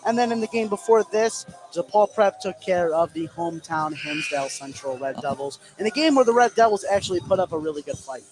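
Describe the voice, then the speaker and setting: A man's voice: sits high, lively intonation, fairly quick, few pauses, soft background music, poor audio. A man giving live play-by-play commentary on a basketball game, as on sports radio. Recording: clear game noise behind the voice. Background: gym ambience of a basketball game.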